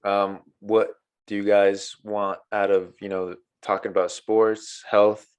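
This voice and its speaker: A man with a fairly low voice hosting a casual podcast. He signs off at an easy pace, padding his lines with fillers as he asks viewers to comment and follow. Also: little pitch movement, slow pace, smooth. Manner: laid-back, filler-heavy sign-off. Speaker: podcast host. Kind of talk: casual chat, show sign-off.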